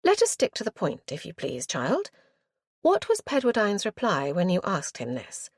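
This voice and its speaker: A brisk Regency aunt, formal and tart, her pitch lively as she questions, voiced by a woman reading a novel aloud. The storybook recording is close and dry. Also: no echo, lightly acted.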